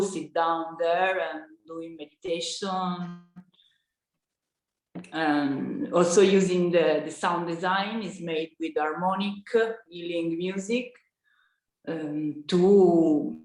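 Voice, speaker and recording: Italian woman director, slow hesitant English, roomy sound. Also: low-pitched, a little mellow. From Italy. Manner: halting, searching for words in English. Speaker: film director. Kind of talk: panel discussion.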